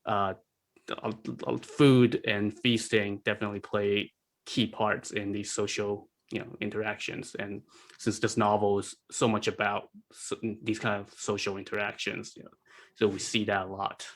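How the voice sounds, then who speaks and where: A man's voice: on the low side, little pitch movement, normal speed. A man speaking as a podcast guest, explaining things casually and often rephrasing as he goes.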